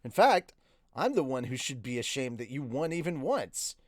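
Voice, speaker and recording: A man's voice: mid-range voice, big pitch swings, moderate pace, no room sound, clean studio sound. A man reading a novel, voicing a blunt, earnest and proud young swordswoman. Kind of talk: audiobook-like novel character reading.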